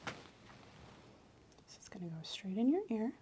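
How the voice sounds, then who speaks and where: A woman soft-speaking an ASMR medical exam role-play as the examiner, explaining in a clinical way and often slipping into a whisper. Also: mid-range voice, very animated, slow.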